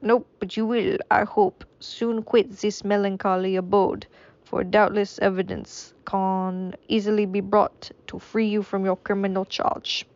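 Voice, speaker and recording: A woman voicing a kindly, gentle male magistrate, putting on a foreign accent and speaking slowly and carefully in a dull, dry recording. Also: medium pitch, fairly even intonation, dry recording, close to an everyday voice. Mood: sympathetic.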